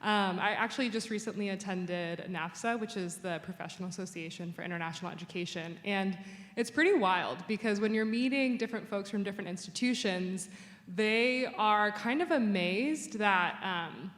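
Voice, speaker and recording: A woman's voice: mid-range voice, a bit bright. Woman on a panel in an echoey hall. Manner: earnest, filler-laden. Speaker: international student staff. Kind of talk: panel remarks. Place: conference hall.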